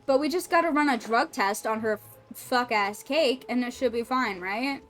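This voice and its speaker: A woman's voice: on the high side, normal speed, a bit bright, faint music behind. A young woman streaming a game, joking and swearing in casual chat. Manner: jokey and playful, swears freely.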